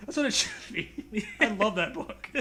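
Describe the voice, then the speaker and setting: A man's voice: very high voice, sharp tone. A man reading a horror story aloud as comedy, with casual, joking banter and a readiness to laugh.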